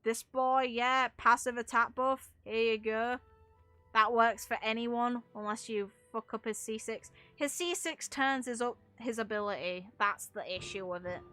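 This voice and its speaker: A woman's voice: a little high, unhurried, fairly bright tone, soft background music. A woman doing casual gaming commentary as a streamer, rambling and opinionated with some casual swearing. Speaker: game streamer.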